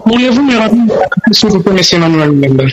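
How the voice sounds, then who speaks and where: A man answering as a radio guest, halting and searching for his words. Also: high-pitched, lively intonation, highs cut off, noisy background. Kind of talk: answering questions in a radio discussion.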